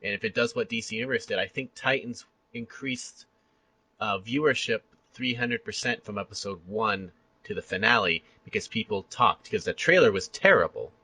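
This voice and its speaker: A man hosting a casual fan chat, with a gravelly edge to his bright, forward voice. He talks in an animated, rambling way, and the sound is close and dry. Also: lowish voice, normal speed, no echo, rough recording. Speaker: podcast interviewer.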